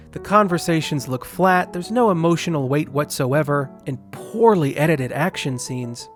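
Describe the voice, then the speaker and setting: A man delivering scripted video-essay narration as the host of a film review. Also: a little high.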